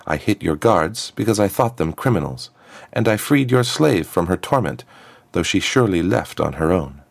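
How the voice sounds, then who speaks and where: A proud young princess with a quick temper, played by a male narrator. The voice sits raised above his usual one, earnest and defiant, in a storybook reading. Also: low-pitched, lively intonation, creaky, no echo, pitched above the performer's own voice, full character voice. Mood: defiant confession.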